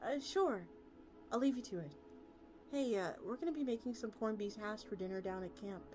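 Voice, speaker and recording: Lady reading as a sensible search team woman, sympathetic and tactful, story voice, music loud behind. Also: medium pitch, normal speed, lightly acted. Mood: conciliatory.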